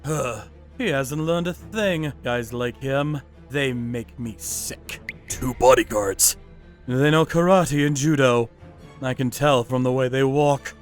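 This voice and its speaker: A man's voice: medium pitch, takes it slowly, faint music behind, close to an everyday voice. A man voicing a cold, vengeful vigilante who narrates his revenge in a manga dub, menacing and mocking. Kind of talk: first-person manga dub narration with acted dialogue.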